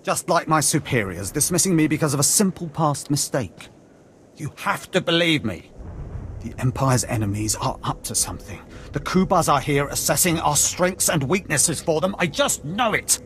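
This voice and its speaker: A paranoid military officer in a game cutscene. His fairly high voice swings widely as he insists with conspiratorial urgency, over faint background noise. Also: a bit bright. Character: paranoid disgraced male Imperial officer, insistent and agitated. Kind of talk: video game cutscene acting.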